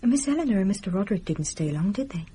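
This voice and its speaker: A woman's voice: medium pitch, expressive, fast, mellow, husky. An actress playing a polite, reserved young English village woman in a radio drama. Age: young adult. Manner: polite, deferential.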